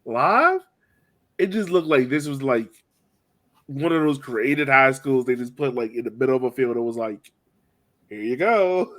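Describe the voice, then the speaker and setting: A young man with a lively, swinging pitch chats about soccer as a podcast host. His delivery is casual, slangy and joking. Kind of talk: casual sports chat.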